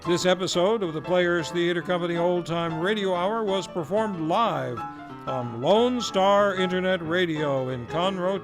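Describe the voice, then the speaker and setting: Male series host, formal announcer read, a touch high, music bed behind. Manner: polished, formal announcer delivery. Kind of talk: scripted closing credits announcement. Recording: music playing underneath.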